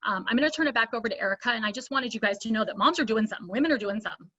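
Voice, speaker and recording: A woman's voice: a little high, animated, racing, a bit bright. A woman giving a persuasive, emphatic motivational business talk over a video call. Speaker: speaker. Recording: dull lo-fi audio.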